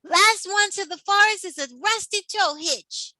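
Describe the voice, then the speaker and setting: Woman acting excitable storybook tow truck, very high voice, bright, folksy, easily frightened. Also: measured pace, dry recording, exaggerated voice. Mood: gleeful. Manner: folksy, ungrammatical, stammering when scared. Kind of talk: children's storybook character voice.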